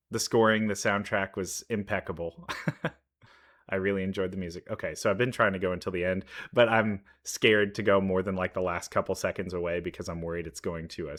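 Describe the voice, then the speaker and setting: A man's voice: low voice, fairly bright tone. A man giving casual reaction commentary, thinking aloud with analytical asides. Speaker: reaction video host.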